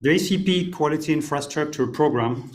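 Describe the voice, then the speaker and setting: Man reading formal protocol greetings. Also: on the high side. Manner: measured, listing dignitaries formally. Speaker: development officer addressing a council.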